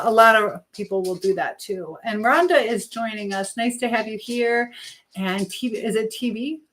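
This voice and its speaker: A woman hosting a casual live stream while she cooks, chatting informally to viewers and reading out their comments. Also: mid-range voice. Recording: clear background noise and clicks.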